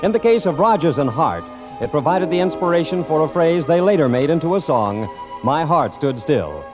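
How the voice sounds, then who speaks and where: A male vintage radio host with animated, swooping intonation and a polished, measured delivery. He reads scripted copy over faint music, on a dull old broadcast recording. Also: a little high. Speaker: radio announcer and program host. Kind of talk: vintage radio announcing, scripted ad and song introduction.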